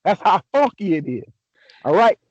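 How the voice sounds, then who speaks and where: A man hosting a casual sports chat, a little raspy from a sore throat, talking in a laid-back, joking way with many restarts. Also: sits high, sing-song, normal speed, a little mellow, no echo, highs cut off. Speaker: podcast host.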